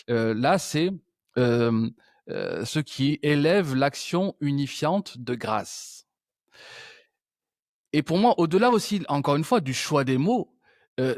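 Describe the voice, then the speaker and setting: A man's voice: on the high side, no room sound, studio quality. A man hosting a conversational interview, thinking aloud and circling back with frequent restarts. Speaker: podcast host.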